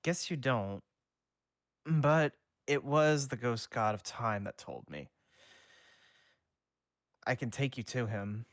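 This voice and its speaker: A man's voice: mid-range voice, lively intonation, slow pace, long pauses, no room sound, studio quality. A man voicing a weary, earnest teenage boy who fights ghosts while reading a story aloud. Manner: reads dialogue in his own voice.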